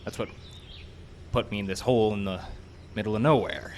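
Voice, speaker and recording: A man's voice: fairly low pitch, steady tone, a little fast, a little mellow, close to an everyday voice. A man playing a hapless, self-doubting filmmaker in a live audio drama, rambling in a jittery, self-mocking way. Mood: wry rambling. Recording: outdoor live stage, faint background noise.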